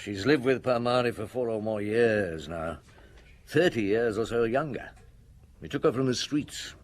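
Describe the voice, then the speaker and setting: An actor playing a seasoned, middle-aged police chief inspector in a radio drama, wry and unhurried. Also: male voice, mid-range voice, close to an everyday voice. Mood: brisk, rueful.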